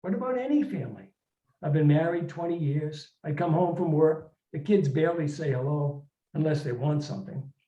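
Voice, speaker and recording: In a roomy, slightly muffled recording, a man reads the part of a put-upon husband in his forties from a short story. He is depressed and defensive, grumbling in weary everyday language with a mellow tone. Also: a little high, normal speed, lightly acted. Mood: exasperated. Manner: weary, complaining, colloquial.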